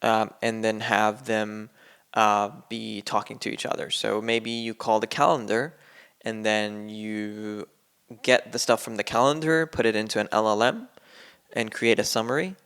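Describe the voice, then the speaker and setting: A man's voice: fairly low pitch, fairly even intonation, measured pace, fairly bright tone, smooth. A man walking through a coding tutorial on his screen in a loose, rambling way with lots of fillers. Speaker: coding instructor. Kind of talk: casual screen-share tutorial. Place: at a computer, screen recording.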